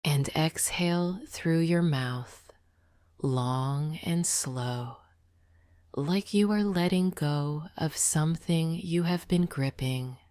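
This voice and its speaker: A woman narrating a scripted sleep meditation in a soft, breathy near-whisper, gentle and deliberate. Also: deep voice, dry recording, studio quality.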